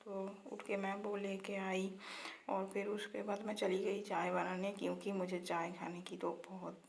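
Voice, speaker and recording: A woman with a slightly hoarse throat, narrating her daily routine in a casual, chatty vlog style with English words mixed in. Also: medium pitch, little pitch movement, moderate pace. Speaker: vlogger.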